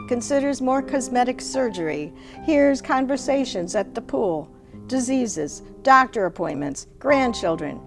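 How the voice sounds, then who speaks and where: Slow, theatrical woman reading a story. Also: a little high. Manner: expressive, theatrical, deliberate. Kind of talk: dramatic reading of personal story. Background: music.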